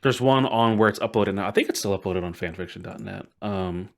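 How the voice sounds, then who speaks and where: A man's voice: on the low side, a little fast, gravelly. A man hosting a podcast in casual storytelling chat, enthusiastic and emphatic, often doubling words for stress. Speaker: podcast host.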